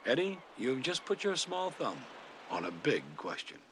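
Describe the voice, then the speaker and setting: An actor playing a gentle, patient father of a young boy in a television sitcom, explaining things with affection and dry humor. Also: on the high side, big pitch swings, normal speed. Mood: thoughtful, wry. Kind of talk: naturalistic television sitcom acting. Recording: noisy old TV soundtrack.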